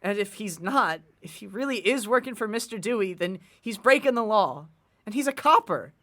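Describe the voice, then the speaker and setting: A man plays an earnest working-class boy in his teens for a period audio drama. His delivery swings up and down in pitch, with folksy old-time slang and a hesitant, worried sincerity. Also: lively intonation, moderate pace, sharp tone, heavily acted.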